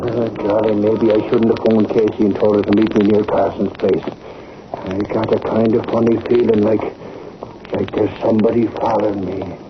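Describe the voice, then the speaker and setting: An actor playing a blustering, good-natured and rather dim ex-cop reporter in an old-time radio drama. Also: male voice, on the low side, level tone, moderate pace, lightly acted. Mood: uneasy. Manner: folksy, blustering, slangy. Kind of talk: 1930s-1940s American radio drama acting. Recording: muffled, noisy old radio recording.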